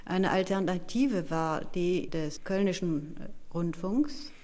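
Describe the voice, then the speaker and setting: A woman works as a live interpreter at a lecture. Her voice sits a little low and sounds mellow and soft. She delivers measured phrases, one at a time, with small restarts. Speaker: consecutive interpreter.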